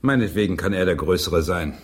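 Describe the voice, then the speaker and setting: A proud, swooping, husky English playwright. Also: male voice, low voice, expressive, fairly quick. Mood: scornful. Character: proud, indignant English playwright, sardonic and theatrical. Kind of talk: German radio play acting.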